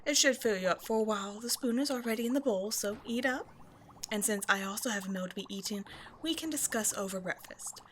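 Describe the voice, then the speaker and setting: A woman's voice: on the high side, brisk, slight background noise, close to an everyday voice. A woman voicing a haughty vampire scientist in an audio roleplay, with a playful, condescending, mock-sweet delivery. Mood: playful, teasing. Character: female vampire scientist, teasing, haughty captor. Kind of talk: scripted ASMR roleplay monologue addressed to the listener.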